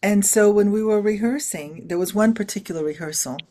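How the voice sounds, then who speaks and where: A woman's voice: medium pitch, fairly quick, mellow. A middle-aged woman, a filmmaker being interviewed, talking expressively about family with many fillers and restarts. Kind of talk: casual interview talk.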